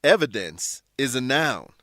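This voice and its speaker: Male narrator, English teacher, scripted radio lesson, explanatory, lively intonation, dry studio recording. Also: mid-range voice, unhurried, no room sound. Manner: clear, explanatory, addresses listeners.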